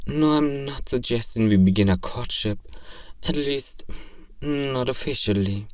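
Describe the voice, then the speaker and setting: Slow-talking, formal, curious robot played by a man. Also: medium pitch, a little mellow, clean studio sound, natural voice. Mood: polite, pleased. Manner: formal, stilted, literal, precise phrasing. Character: polite, curious alien robot in artificial human skin, naive about romance. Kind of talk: scripted roleplay audio drama. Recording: roomy, slightly distant microphone.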